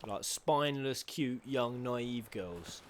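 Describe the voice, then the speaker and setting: A man's voice: mid-range voice, slow, background noise. A man giving casual, slangy reaction commentary on game cutscenes, with playful and crude joking. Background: video game soundtrack.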